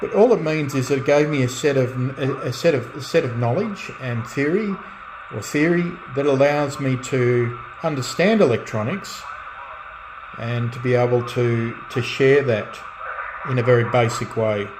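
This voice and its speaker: Radio static and noise sit clearly behind a middle-aged man with a mid-pitched voice. He chats in a relaxed, unscripted way as a hobbyist, feeling his way through sentences and often starting them again. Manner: halting, reflective, often restarting phrases. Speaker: radio hobbyist and restorer presenting own channel. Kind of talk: relaxed off-the-cuff chat.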